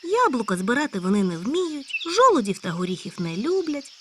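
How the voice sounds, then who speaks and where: Woman doing a squeaky excitable hare. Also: fairly quick, a little hiss or hum. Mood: eager. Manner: eager, exclaiming, high and loud. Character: excitable chatty male hare. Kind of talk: storybook character voice.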